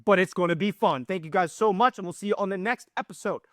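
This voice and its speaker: A man hosting a hockey channel, hoarse and losing his voice, talking through the game with excited emphasis. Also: high voice, fast talker, no room sound, clean studio sound. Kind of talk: casual hockey commentary.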